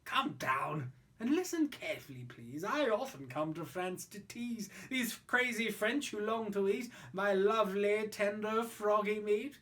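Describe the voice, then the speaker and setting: A woman reading a storybook poem, voicing a calm male magic toad who speaks coolly and slowly. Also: medium pitch. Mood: cool, teasing. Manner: cool and unhurried.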